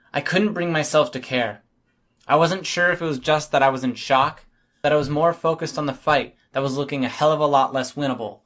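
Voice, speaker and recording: A man's voice: medium pitch, a bit bright. A man reading scripted first-person fiction as an audiobook narrator.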